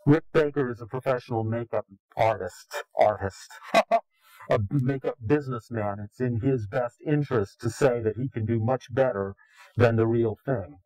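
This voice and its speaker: A man's voice: medium pitch, normal speed, darkish tone, no room sound, rough recording. A man being interviewed, speaking in a contemptuous, indignant way. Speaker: anonymous interviewee. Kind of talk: recorded interview.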